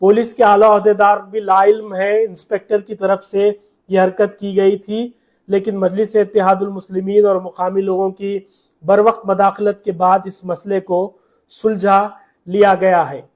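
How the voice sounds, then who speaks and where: A man's voice: high-pitched, flat delivery, normal speed, darkish tone. A man with a Hyderabadi Urdu accent narrating a news report with commentary.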